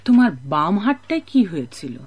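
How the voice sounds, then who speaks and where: An actress playing a kindly, hospitable innkeeper in a radio drama, fussing and scolding with care. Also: female voice, medium pitch, fairly quick, husky, muffled recording. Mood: fond scolding. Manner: expressive, fussing, scolding yet caring. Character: kindly, motherly woman innkeeper, brisk but hospitable. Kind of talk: Bengali radio drama acting.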